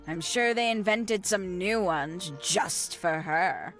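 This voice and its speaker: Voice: medium pitch, takes it slowly, close to an everyday voice. An actor playing a snide, mocking suspect in a video game, with a sarcastic manner. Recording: game music behind the voice.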